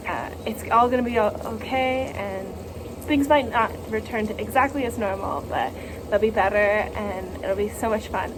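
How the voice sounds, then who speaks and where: A woman's voice: medium pitch, background noise. A woman giving a casual, informal personal message, with youthful phrasing peppered with "like". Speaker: speaker sharing a personal story for an online worship service.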